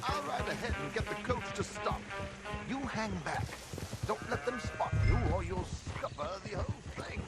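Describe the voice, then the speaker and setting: An actor playing a flamboyant, smooth-talking con artist in an Old West game, with a pompous, teasing manner and florid wording. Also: male voice, high voice, expressive, normal speed, over loud music. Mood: brisk, instructing. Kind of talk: game cutscene dialogue.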